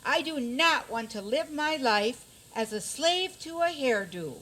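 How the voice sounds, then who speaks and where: Lady as a plaintive fairytale maiden, high voice, slow and very animated, radio comedy. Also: exaggerated voice, low-quality recording. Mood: aggrieved pleading. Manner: plaintive, dramatic. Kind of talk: comic community radio theater.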